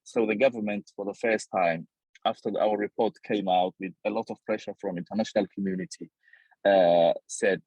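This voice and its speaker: A man's voice: lowish voice, animated, moderate pace, vocal fry, a little mellow, no room sound, rough recording. A man with a Somali accent, speaking as a journalist in an interview, reflecting earnestly and sometimes repeating his phrases.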